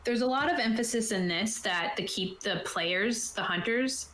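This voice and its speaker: A woman's voice: fairly high pitch, moderate pace, a bit bright. A woman chatting casually and enthusiastically as a game master about tabletop roleplaying, thinking aloud as she goes. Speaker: game master and show host. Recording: roomy echo, faint background noise.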